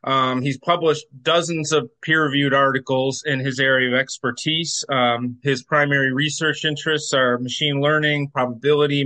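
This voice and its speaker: A man's voice: mid-range voice, sharp tone. A man hosting an interview podcast, reading introductions and putting questions to his guest.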